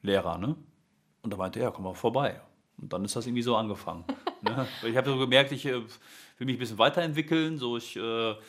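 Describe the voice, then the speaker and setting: A man's voice: mid-range voice, fast, fairly bright tone. A man, a music teacher, chatting casually as an interview guest, rambling with many fillers and restarts.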